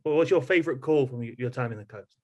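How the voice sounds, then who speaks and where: A man's voice: mid-range voice, fast talker. A man hosting a podcast, asking chatty, conversational questions and rephrasing them as he goes. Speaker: podcast host, interviewer. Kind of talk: casual conversational interviewing.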